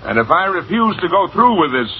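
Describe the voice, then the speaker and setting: An actor playing a polite, wry young American gambler in old-time radio comedy, a little hesitant. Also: male voice, high voice, sing-song, fairly quick. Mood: wry, reasonable. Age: young adult. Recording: muffled, hissy old radio recording.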